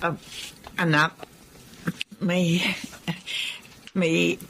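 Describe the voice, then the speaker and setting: An actress with a Filipino accent playing a gentle, pious older mother, hesitant and earnest, in a radio drama. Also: fairly low pitch, level tone, extremely slow, vocal fry, slight background noise, full character voice.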